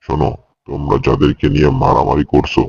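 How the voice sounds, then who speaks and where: A man's voice: a bass voice, sing-song, quick pace, creaky, a little mellow, husky, heavily acted. A man voicing a stern jungle elder in a cartoon, admonishing, in muffled audio. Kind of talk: Bengali cartoon voice acting.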